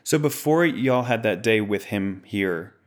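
A man hosting an interview, asking curious, short questions in a low voice. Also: lively intonation, unhurried. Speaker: interviewer host. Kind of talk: casual interview chat.

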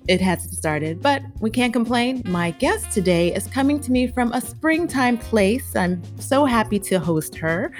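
Host: woman, mid pitch, upbeat, faint music underneath. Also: darkish tone. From Ghana. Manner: upbeat, reads intro then chats loosely. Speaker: podcast host. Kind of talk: scripted podcast intro then chat.

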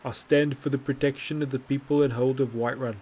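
A man's voice: mid-range voice, steady tone, fairly quick, a little mellow, close to an everyday voice. A dutiful, bored guardsman, voiced by a man reading the story, answering in a stiff, well-rehearsed diplomatic tone. A faint hiss runs under it. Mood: formal. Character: formal, bored male city guardsman.

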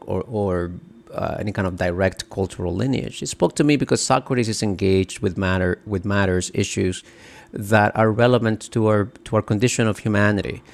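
A middle-aged man from the Dominican Republic, an author answering interview questions in a halting, thoughtful way with many restarts. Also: on the low side, vocal fry. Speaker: author and guest.

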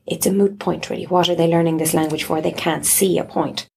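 A woman's voice: lowish voice, fairly even intonation, brisk, gravelly, darkish tone, husky. An Irish woman in her thirties, explaining her views thoughtfully in a conversational interview. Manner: thoughtful, explanatory, with restarts and asides.

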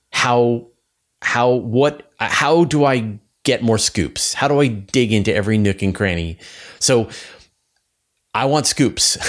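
A man talking casually and enthusiastically as a podcast host, thinking aloud with emphatic points. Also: medium pitch. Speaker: podcast host and science news publisher.

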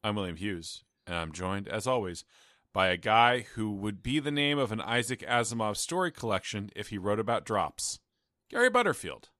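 A man with a bright, forceful voice hosts a casual podcast, sounding tired but still trading deadpan jokes. He talks through a gaming headset, and the sound is dry and close with no room echo. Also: fairly low pitch, moderate pace. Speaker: podcast host. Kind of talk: casual chat.

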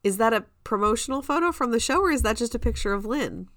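A woman's voice: fairly high pitch, expressive, fast talker, fairly bright tone, no echo, studio quality. A woman co-hosting a podcast, chatting casually in a storytelling way and quoting people's reactions as she goes. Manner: conversational storytelling, acts out quoted reactions. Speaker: podcast co-host. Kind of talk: casual chat about musical theater.

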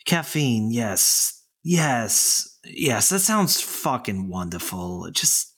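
An actor playing a hungover, irritable young boyfriend who is groggy, defensive and sarcastic. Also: male voice, mid-range voice, big pitch swings, very slow, a bit bright, breathy edge, heavily acted. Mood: grumpy. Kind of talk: audio drama acting.

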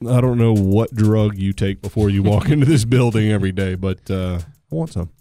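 Sarcastic middle-aged man, dark voice. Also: fairly low pitch, a little fast, no echo, soft background music. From United States. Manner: joking, sarcastic, rambling. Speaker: podcast co-host. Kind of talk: casual chat.

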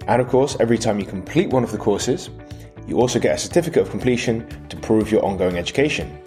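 A man's voice: lowish voice, lively intonation, fast talker, warm tone, faint music behind. A man hosting a podcast, delivering an upbeat scripted outro and promotion. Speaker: podcast host.

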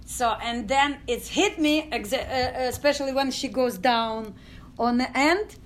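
Ukrainian woman, middle-aged, high voice, pauses and fillers, faint background noise. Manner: halting non-native English with many fillers. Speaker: guest, dance company founder. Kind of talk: storytelling interview.

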